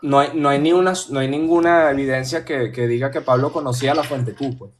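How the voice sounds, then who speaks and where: A man's voice: medium pitch, fairly even intonation, a little fast. Insistent Venezuelan man demanding sources. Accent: Venezuelan Spanish. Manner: presses for sources, insistent, challenging. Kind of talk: heated debate on a call.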